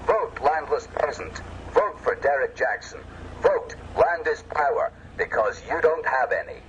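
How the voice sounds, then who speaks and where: A man's voice: fairly high pitch, very animated, measured pace, fairly bright tone. A man delivering a scripted election address in a declamatory, sloganeering way. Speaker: political campaigner. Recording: dull lo-fi audio, faint vehicle noise.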